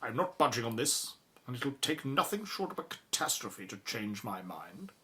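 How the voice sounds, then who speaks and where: A man's voice: mid-range voice, very animated, normal speed, bright, forceful tone. An actor playing a stubborn, haughty male academic, curt and condescending, in an audio drama. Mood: cold, dismissive.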